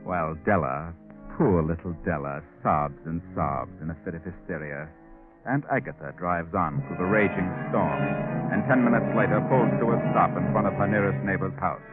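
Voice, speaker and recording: An actor playing a sinister, sardonic storyteller, narrating in a measured 1940s radio suspense style. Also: male voice, deep voice, natural voice. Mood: ominous. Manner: measured, ominous. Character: sinister, sardonic male storyteller of crime tales. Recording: phone-like old radio recording. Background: dramatic music.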